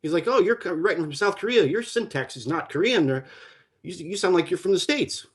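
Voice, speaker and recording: A man's voice: sits high, animated, a little fast, husky. A man telling a long personal story in casual conversation, rambling and full of fillers and restarts.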